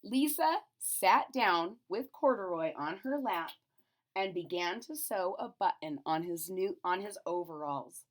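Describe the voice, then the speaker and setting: Woman reading a picture book to little kids, slow and really expressive, explains stuff simply. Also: lowish voice. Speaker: storyteller. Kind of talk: children's read-aloud with explanatory asides.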